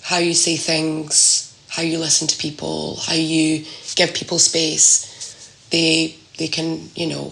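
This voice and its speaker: A woman talking reflectively and casually as an interview guest, halting and thoughtful as she searches for words. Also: fairly low pitch, vocal fry, a bit bright, echoey room, low-quality recording. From Scotland. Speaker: podcast guest sharing a personal story.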